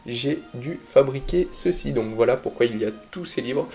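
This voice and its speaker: A man's voice: mid-range voice, normal speed, gravelly, darkish tone. A young man with a cold chatting casually to camera in his bedroom, rambling with lots of fillers, recorded on a cheap desk mic. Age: young adult. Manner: rambling, filler-heavy, self-deprecating. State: congested with a cold. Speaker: YouTuber. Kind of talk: casual vlog chat. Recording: echoey room with background music.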